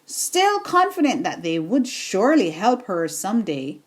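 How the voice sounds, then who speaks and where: A woman reading a children's bedtime story in an expressive, deliberate storytelling manner. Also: medium pitch.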